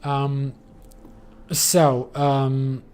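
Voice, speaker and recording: A man's voice: mid-range voice, flat delivery, drawn-out words, darkish tone, clean tone. A man streaming a game, talking casually and rambling, with lots of fillers and the odd swear. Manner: rambling, many fillers, loose and profane. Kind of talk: casual gameplay commentary.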